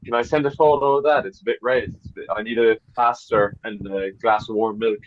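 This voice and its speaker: A man's voice: fairly low pitch, steady tone, fairly quick, narrow, tinny audio, a little hiss or hum. A man co-hosting a podcast chat with dry jokes and mock grumbling. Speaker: podcast co-host. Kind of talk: casual chat over a video call.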